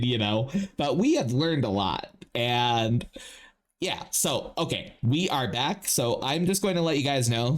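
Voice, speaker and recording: Male streamer, clear smooth voice, rambling casual chat, slangy, filler-heavy. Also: medium pitch. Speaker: streamer hosting a reaction stream. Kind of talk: casual livestream chat with viewers.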